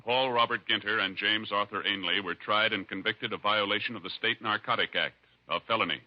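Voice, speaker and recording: A man's voice: lowish voice, a little fast. An American man reading formal scripted announcements in a 1950s radio style. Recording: muffled 1950s radio recording. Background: music.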